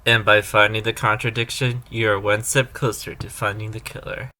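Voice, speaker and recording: Man acting a calm, low-voiced mentor. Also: normal speed, lightly acted. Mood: calm, confident. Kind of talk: courtroom audio drama acting.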